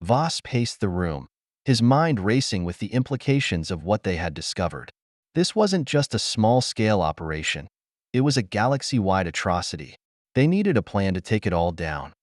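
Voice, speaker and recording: A man's voice: fairly low pitch, big pitch swings, moderate pace, no room sound, clean studio sound. A man reading a science fiction adventure story as an audiobook narrator, in a dramatic, earnest manner.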